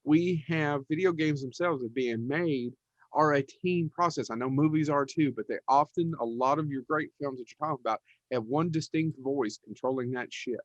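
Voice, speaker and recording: A man's voice: fairly high pitch, normal speed, no room sound, highs cut off. A man co-hosting a casual podcast panel, talking in an emphatic, rambling, informal way. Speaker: podcast co-host.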